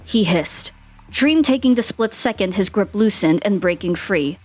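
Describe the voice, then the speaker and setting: A woman reading fan fiction aloud as an expressive narrator, with music behind her. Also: medium pitch, normal speed.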